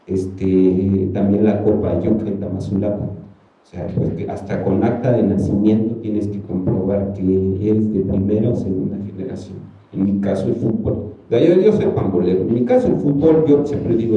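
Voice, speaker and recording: Mexican man, Ayuuk native, panel artist, rambling chat, very dark voice, heard from across an echoing room. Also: on the low side. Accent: Mexican Spanish. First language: Ayuuk (Mixe). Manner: rambling, colloquial, many fillers. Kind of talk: casual panel talk. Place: museum talk hall. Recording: roomy, distant, dull sound.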